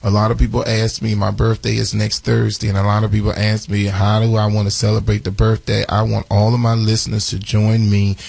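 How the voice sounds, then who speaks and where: A man in his forties with a raspy voice, hosting a live morning radio show and chatting through announcements with lots of fillers and restarts. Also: fairly low pitch, monotone, a little fast, hardly any pauses, a little mellow, no echo. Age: 40s. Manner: chatty, repeats phone numbers, many fillers and restarts.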